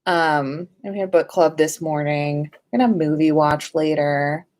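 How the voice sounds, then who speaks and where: A woman's voice: low-pitched, measured pace, a little mellow. A woman hosting a casual livestream in a playful, informal way, sometimes sing-song and casually sweary. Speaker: livestream host.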